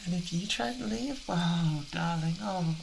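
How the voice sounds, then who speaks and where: A man with a British accent playing an obsessive, unhinged boyfriend, doting and menacing, in a halting, intimate ASMR roleplay. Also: high voice, creaky, slight background noise, exaggerated voice. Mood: menacing, gleeful. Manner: halting, giggly, theatrically coaxing.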